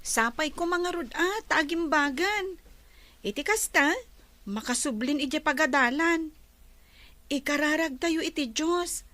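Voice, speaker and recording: A woman's voice: high voice, a little slow, bright, forceful tone, no room sound. A woman playing a polite, sensitive young female student in a radio drama. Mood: earnest. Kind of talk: Ilocano radio drama acting.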